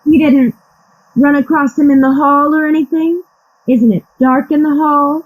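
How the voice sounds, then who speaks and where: A woman acts out a coy, worried lady client in a radio comedy, her voice pitched high. She is halting and pleading in her delivery, and the sound is a little muffled. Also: steady tone, close to an everyday voice. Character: anxious, coy young damsel client in distress. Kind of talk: comic radio play acting.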